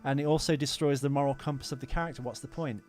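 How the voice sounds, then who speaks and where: A man's voice: medium pitch, fairly even intonation, fast, a little mellow, soft background music. A man co-hosting a podcast in casual, opinionated film chat, ranting emphatically and swearing freely. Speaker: podcast co-host.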